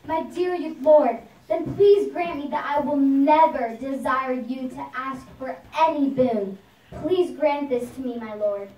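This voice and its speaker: A woman's voice: sits high, mellow. A woman voicing a devout, compassionate saintly boy earnestly praying to his Lord in a puppet show. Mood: pleading, devoted. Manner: earnest, reverent, measured delivery. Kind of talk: devotional puppet show dialogue. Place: live puppet show in a reverberant room. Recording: echoey room, distant microphone.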